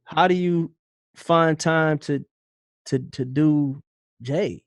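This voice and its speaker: Dry-sounding playful podcast host. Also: male voice, on the high side, slow, no room sound. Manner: playful, loose, repeats words. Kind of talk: casual interview chat.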